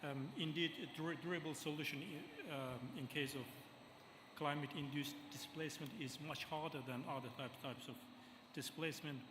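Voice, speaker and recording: A man moderates a conference session in a formal, halting way, pausing often to hesitate. His voice echoes around a large hall with a long reverb, and background noise is clearly audible behind him. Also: on the high side. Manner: halting, formal, many ums and restarts.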